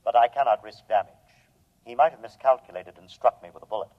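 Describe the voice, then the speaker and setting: An actor playing a calm, coldly superior robot from another planet, speaking formally and precisely in a 1950s radio drama. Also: male voice, medium pitch, fast, natural voice. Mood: cool, detached. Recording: muffled, noisy 1950s radio recording.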